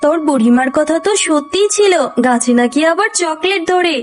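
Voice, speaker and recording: A woman's voice: high voice, lively intonation, moderate pace. A woman voicing a strict but loving fox mother in a children's cartoon, with an exclaiming manner. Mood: doubtful, surprised. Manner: scolding, exclaiming. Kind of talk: children's cartoon story acting.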